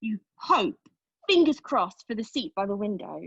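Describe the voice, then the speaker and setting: A woman acting a dramatic monologue as a wounded, reflective woman, telling her story intimately with pauses and emphasis. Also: mid-range voice, big pitch swings, fairly bright tone, slightly rough, no echo, highs cut off, exaggerated voice. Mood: excited, absorbed. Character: wounded, reflective woman recounting lost love and travel. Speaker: actor in a play table read.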